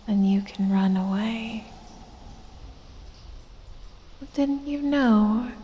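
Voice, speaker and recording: A woman voicing a sultry, predatory lamia who teases and coaxes with quiet menace in a breathy, whispery ASMR roleplay, drawing out her words seductively. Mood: softly menacing. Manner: slow, drawn-out, seductive teasing with low chuckles. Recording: long cavernous reverb.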